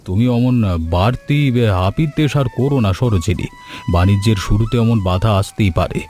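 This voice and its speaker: A man voicing a kindly, steadfast village husband in an animated moral story, speaking earnestly and reassuringly. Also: fairly low pitch, normal speed, soft background music, lightly acted.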